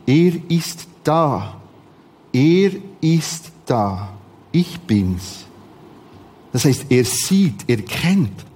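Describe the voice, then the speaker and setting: A man with a Swiss German accent preaching a sermon in short, repeated, emphatic phrases, with a husky voice. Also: mid-range voice, very animated, drawn-out words, darkish tone.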